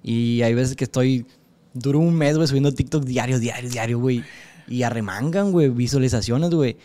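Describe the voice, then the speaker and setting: Podcast guest: twenties, male, rapid relaxed slang, low warmth in tone. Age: 20s. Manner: slangy, says "güey" constantly, laughs often. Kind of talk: casual chat.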